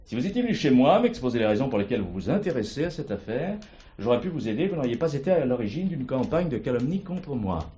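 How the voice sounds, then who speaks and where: An actor playing a proud, aggrieved heir to a country estate, speaking formally and emphatically in a theatrical drama. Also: male voice, mid-range voice, very animated, fast talker, dark tone, lo-fi sound, lightly acted. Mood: indignant. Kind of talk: French stage or TV play acting.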